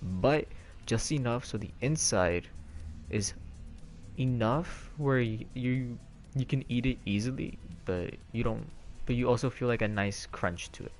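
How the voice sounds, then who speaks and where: A man's voice: medium pitch, measured pace, darkish tone, soft background music. A man streaming from home on a headset mic, rambling in casual chat with many fillers and restarts.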